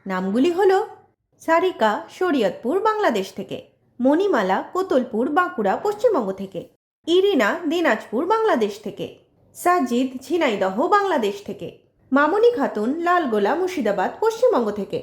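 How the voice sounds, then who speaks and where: A woman announcer with a high voice and very animated intonation. She reads a scripted announcement, and the recording is echoey. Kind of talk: scripted announcement of names and quiz. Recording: echoey, reverberant voice-over.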